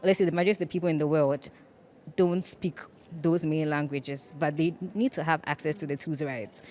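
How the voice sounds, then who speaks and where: A woman's voice: low-pitched, fairly even intonation, a little fast, darkish tone, a little hiss or hum. A woman panelist with a legal background, speaking earnestly and emphatically in a discussion.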